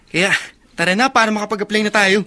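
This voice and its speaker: A man's voice: high voice, steady tone, fast talker, bright, forceful tone. An actor in a Filipino radio drama playing an earnest, ambitious and determined young man. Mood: earnest, eager. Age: young adult.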